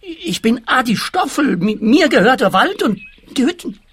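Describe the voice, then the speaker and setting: An actor playing a whiny, evasive small-time entrepreneur in a radio play. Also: male voice, very high-pitched, sing-song, moderate pace, fairly bright tone, husky, heavily acted. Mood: uneasy, self-important. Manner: whining, wheedling.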